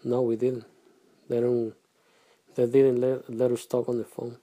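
A middle-aged man from Guatemala, answering questions in a casual family interview, halting and soft-spoken with pauses and restarts. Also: medium pitch, monotone. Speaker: interviewee, father.

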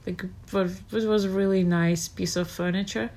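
A woman, answering interview questions about her childhood in an unhurried way, searching for her words. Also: mid-range voice, steady tone, mellow. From Russia. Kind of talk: casual childhood memories.

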